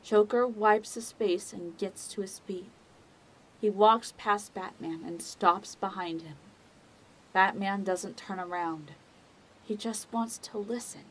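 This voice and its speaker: A woman narrates a scripted drama. Her voice sits at a medium pitch. She takes her time, measured and deliberate in each sentence. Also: husky, poor audio.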